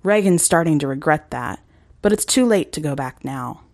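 A woman's voice: low voice, expressive, normal speed, a little mellow, dry recording, studio quality. A woman reading scripted fiction as a narrator for a short story podcast. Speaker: podcast editor and story reader.

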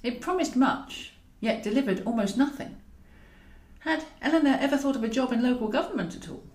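A British woman reads comic fiction as an audiobook narrator. Her delivery is wry and very animated in pitch. The recording has an audible roomy echo. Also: fairly quick. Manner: wry, expressive. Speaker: author and narrator.

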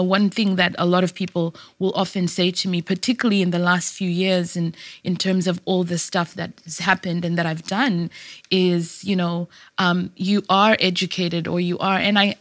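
A woman's voice: fairly low pitch, fairly bright tone, no room sound. A woman in her forties, from Zimbabwe, telling her personal story in a reflective way, full of "you know.". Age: 40s. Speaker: guest speaker. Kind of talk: personal testimony.

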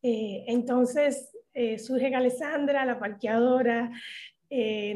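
Cuban woman, 30s, high-pitched, chatty and halting, interview guest on a video call. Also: darkish tone. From Cuba. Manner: chatty, halting with many fillers and restarts. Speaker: interview guest, social media comedian. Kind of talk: casual interview talk.

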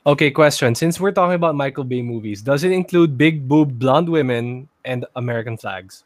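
A man's voice: mid-range voice, brisk, no echo. A man with a Filipino accent co-hosting a podcast, chatting casually about films with joking, wry interjections. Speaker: podcast co-host.

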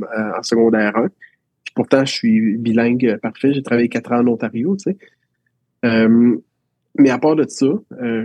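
Man, Quebec French accent, 30s, reflective storyteller, warm dark tone, husky edge. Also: medium pitch, dry recording. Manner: reflective, storytelling with many restarts. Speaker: teacher and interview guest. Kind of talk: casual interview conversation.

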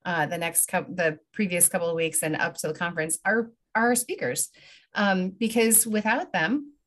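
A woman's voice: lowish voice, normal speed, a bit bright. A woman co-hosting a podcast, friendly and upbeat, chatting and introducing a guest. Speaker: podcast co-host. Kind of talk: casual chat, interview.

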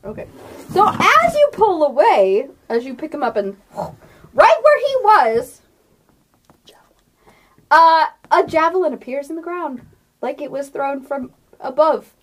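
A woman's voice: high-pitched, very animated, takes it slowly, pauses often. A playful woman improvising narration as game master in a casual tabletop session. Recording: faint background noise.

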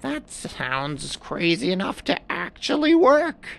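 A sensible, slightly fussy blue heron talks in a high, thin voice, put on by a man. Its pitch jumps up and down, with a faint gravel underneath. It fits a heron in a storybook told at bedtime. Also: huge pitch swings, slow pace, exaggerated voice. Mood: peevish.

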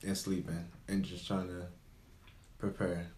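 A man's voice: low voice, monotone, unhurried, a little mellow. Blunt, slangy man in an echoey room. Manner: blunt, opinionated. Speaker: podcast co-host. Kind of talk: casual gossip chat, slangy and profane. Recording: roomy, echoey podcast recording.

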